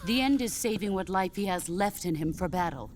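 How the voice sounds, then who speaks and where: An actress playing a stoic veteran female soldier who speaks in a calm, measured, grave way over game music. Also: on the low side, a little hiss or hum, lightly acted. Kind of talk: video game cutscene acting. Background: game score music.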